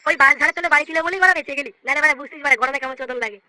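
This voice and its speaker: A performer voicing a brash, foul-mouthed young village slacker in a comedy cartoon, with a squeaky pitched-up voice and crude, bickering delivery. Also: racing, pushed and bright. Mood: grumbling. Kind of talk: comic cartoon dialogue with slangy swearing.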